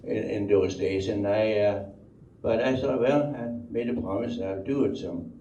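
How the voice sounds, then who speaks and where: Deep-voiced older Danish man, roomy recording. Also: warm tone. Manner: halting, rambling, with repeated words. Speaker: speaker telling life story. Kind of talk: unscripted oral history storytelling. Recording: dull, roomy, distant microphone, faint noise.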